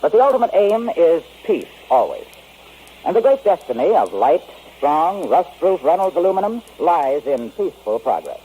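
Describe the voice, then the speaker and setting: Old time radio ad guy, kinda high lively voice, husky and warm. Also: moderate pace, low-quality recording. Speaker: radio announcer. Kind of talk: vintage radio commercial read.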